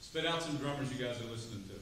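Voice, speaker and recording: A middle-aged man giving a drum clinic to students, chatty and lively, asking the room questions. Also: mid-range voice, racing. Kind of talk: percussion clinic talk to students. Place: large rehearsal hall, standing before seated students. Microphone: far camera, no mic. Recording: roomy hall from far off, faint noise.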